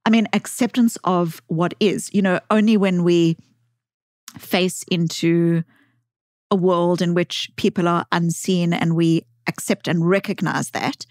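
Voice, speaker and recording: South african lady, psychologist guest, slightly low voice, dry close mic. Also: fairly even intonation, measured pace, a little mellow, no echo. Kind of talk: casual podcast conversation.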